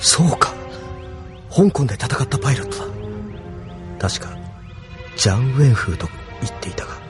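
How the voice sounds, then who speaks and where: An actor voicing a young ace pilot who speaks in a tense, alert way in battle, in a studio radio drama. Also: male voice, medium pitch, very animated, brisk, over music, lightly acted.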